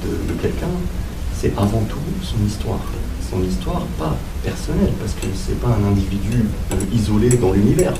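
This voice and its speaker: A man from Algeria with a somewhat low voice argues his case step by step as a debate guest. The sound is roomy and distant, with loud background noise. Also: normal speed, gravelly. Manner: argumentative, explains step by step. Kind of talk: spontaneous debate.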